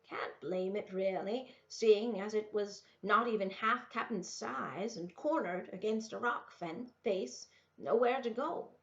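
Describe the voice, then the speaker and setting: A proud, ailing old backwoods woman from a storybook, as read by a female narrator. She is gruff and sharp, answering in blunt, short phrases. The recording is slightly dull and lo-fi. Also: mid-range voice, measured pace, hardly any pauses. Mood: gruff, resigned.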